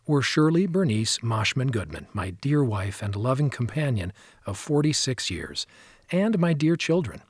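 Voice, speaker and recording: A male narrator reads scripted audiobook text in a mid-pitched voice with a husky grain. The sound is dry, with no room around it. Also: dry recording.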